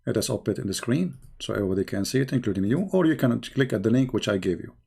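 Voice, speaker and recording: A man with an Arabic accent hosts a heated live-stream debate with callers. His voice is gravelly and mid-pitched, and his English is halting as he presses the same question again and again. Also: animated, a little mellow. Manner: insistent, repeats questions, halting broken English.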